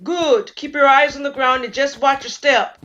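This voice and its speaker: A young college guy takes the lead on a risky trail, giving brisk, upbeat directions in a story drama, voiced by a woman storyteller. His voice sits fairly high and sounds lively as he keeps the group moving. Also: dull audio. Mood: directing. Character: eager young college man, brave front, group leader. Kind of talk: audio drama acting.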